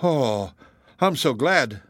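A man's voice: mid-range voice, expressive, slow pace, fairly bright tone, no echo, studio quality. A man voicing an anxious, impulsive young fellow who speaks in fretful exclamations in a storybook reading. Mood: relieved.

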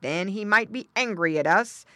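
A woman voicing a fat, placid father pig in a children's storybook, sensible and measured. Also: mid-range voice, sharp tone, no room sound, voice pushed up, close to an everyday voice.

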